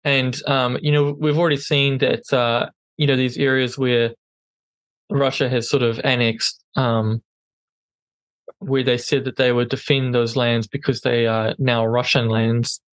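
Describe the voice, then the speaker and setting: A man with a non-native English accent talks through the news in a relaxed podcast chat. His pitch sits in the middle, and he stops and restarts often. The sound is dry and close, with little room tone. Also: a little slow, no echo. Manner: hesitant, many ums and restarts. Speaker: podcast co-host.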